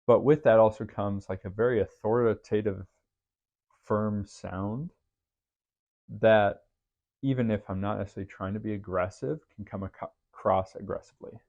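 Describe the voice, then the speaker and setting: A man hosting a podcast, talking through his thoughts in an earnest, faith-based, reflective way with a deep voice. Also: steady tone, moderate pace, a little mellow, muffled recording. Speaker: podcast host. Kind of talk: reflective solo podcast monologue.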